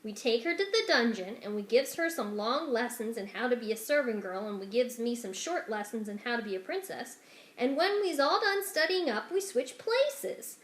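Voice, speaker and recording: A dim, eager young servant girl from a storybook, played by a woman, talks bluntly with rough rustic grammar. The voice rings with room echo. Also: a little high, lively intonation, moderate pace, lightly acted. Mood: eager, boastful. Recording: roomy indoor echo.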